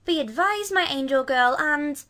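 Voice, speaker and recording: A shrill haughty old dowager. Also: female voice, expressive, measured pace, pushed and bright, exaggerated voice. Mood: imperious. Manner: imperious, fussy.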